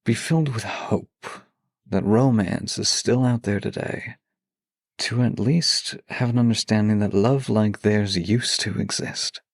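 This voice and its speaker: Deep, gravelly male podcast host. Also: unhurried, no room sound, studio quality. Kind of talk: intimate podcast talk with a read-aloud letter.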